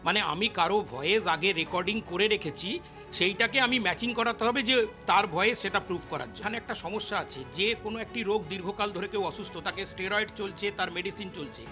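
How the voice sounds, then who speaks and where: Male expert interviewee, high pitch, explanatory, code-switching into English, over background music. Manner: mixes in English phrases, explanatory.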